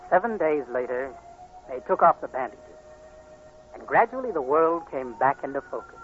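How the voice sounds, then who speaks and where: A man's voice: mid-range voice, sing-song, moderate pace, faint music behind, close to an everyday voice. An actor playing an anguished young male patient, speaking with dramatic intensity in 1940s radio drama style. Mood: calm, reflective. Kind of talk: 1940s radio acting, first-person narration and dialogue. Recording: noisy, muffled 1940s radio recording.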